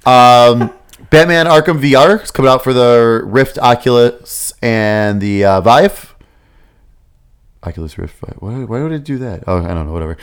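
A man co-hosting a gaming podcast, reading out release dates with quick asides and easy laughter in casual chat. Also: medium pitch, animated, smooth.

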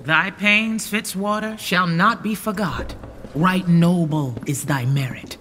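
An actor playing a newly crowned king, measured, formal and commanding, in a Shakespearean radio drama. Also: high voice, pushed and bright, full character voice. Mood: regal. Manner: measured, regal. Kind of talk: Shakespearean radio play acting. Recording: reverberant hall, faint noise.

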